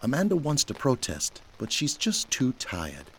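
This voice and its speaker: A man's voice: medium pitch, wildly swooping pitch, a little slow, a bit bright. A man reading scripted narration for an audio drama, with noise behind him. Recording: clear background noise.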